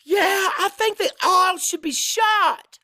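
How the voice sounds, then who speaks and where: A man's voice: extremely high pitch, slow, pushed and bright, no room sound, studio quality, voice pushed up, exaggerated voice. A man voicing a sweet, casual grown daughter in audiobook dialogue, with a light, offhand manner. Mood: upbeat.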